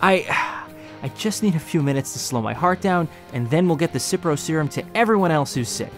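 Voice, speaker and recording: A man's voice: on the high side, expressive, brisk, faint music behind, natural voice. A man acting a young slum hero who tells his own story in an audio drama, earnest and emotional. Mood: elated. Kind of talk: first-person audio drama narration with acted dialogue.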